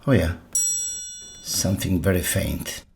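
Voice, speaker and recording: Low-voiced man muttering slowly at a workbench. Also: fairly even intonation, creaky, faint music behind. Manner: muttering to himself in short fragments with pauses. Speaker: electronics repair video maker. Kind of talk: thinking aloud while repairing electronics.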